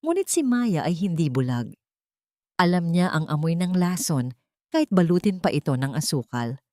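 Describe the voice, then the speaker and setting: A woman's voice: fairly low pitch, big pitch swings, moderate pace, warm tone, no room sound, studio quality. A woman reading a dramatic romance story as a scripted narration.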